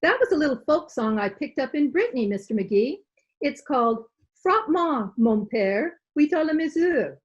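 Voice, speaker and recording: A woman's voice: sits high, sing-song, normal speed. A woman playing an affected, airy lady pianist showing off in an old-time radio comedy. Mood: airy self-satisfaction. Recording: dull video call recording.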